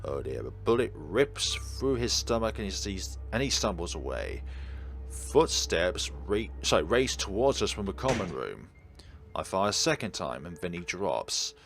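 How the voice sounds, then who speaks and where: A man with a low, very expressive voice hosts a playthrough of a visual novel. He narrates the text and adds wry asides over faint music. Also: a little slow, gravelly. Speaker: let's play host. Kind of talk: reading a visual novel aloud with commentary. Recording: music behind the voice.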